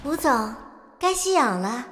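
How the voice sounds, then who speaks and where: An actress playing a composed, defiant, scheming secretary with a controlled, guarded manner in an animated crime drama. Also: female voice, high-pitched, measured pace, slight background noise, full character voice. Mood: falsely soothing. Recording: music behind.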